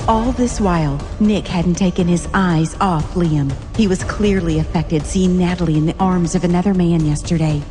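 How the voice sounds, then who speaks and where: A woman's voice: fairly low pitch, moderate pace, hardly any pauses, dark tone, long reverb tail, faint music behind. A woman narrating a dramatic story from a script.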